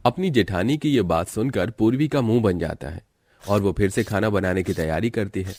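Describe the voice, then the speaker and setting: Low-voiced male cartoon story narrator. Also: normal speed, darkish tone, no echo, clean studio sound.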